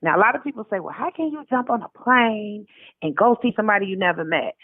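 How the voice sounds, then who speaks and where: A woman's voice: mid-range voice, lively intonation, fast talker, no room sound. A middle-aged American woman speaking as an interview guest in a casual, emphatic, colloquial way over a narrow, tinny line.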